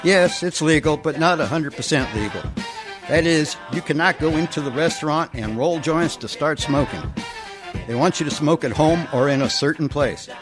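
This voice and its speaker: A man's voice: on the high side, normal speed, close to an everyday voice. A man playing a chatty, worldly hitman in a stilted radio comedy. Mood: explaining. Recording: guitar music behind dialogue.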